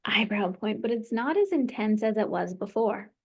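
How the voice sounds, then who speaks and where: A woman's voice: mid-range voice, expressive, moderate pace, slightly rough. A woman practitioner guiding a client through a tapping session, encouraging her and leading phrases for her to repeat.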